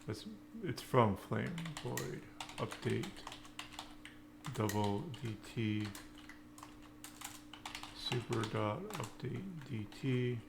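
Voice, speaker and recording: Guy doing a coding walkthrough, slow n halting, creaky voice, thinking out loud w keyboard noise behind him. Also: mid-range voice, steady tone. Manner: halting, in short fragments. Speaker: programming tutorial instructor. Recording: noisy with keyboard typing. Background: computer keyboard typing.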